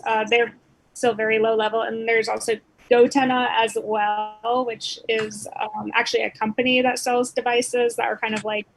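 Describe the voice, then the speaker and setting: A woman's voice: fairly high pitch, no echo, poor audio. A woman organizing a community network, explaining things casually with many fillers and restarts over a video call. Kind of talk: casual explanation in an online meetup.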